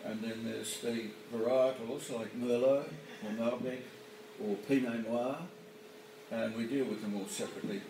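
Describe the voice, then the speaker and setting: Gravelly middle-aged winemaker in an echoey cellar. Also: male voice, medium pitch, normal speed, slight background noise. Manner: relaxed, filler-heavy, hesitant. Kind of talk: casual explanation to camera. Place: barrel room of a winery, indoors. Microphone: camera mic from a few metres off. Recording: echoey cellar, distant camera mic.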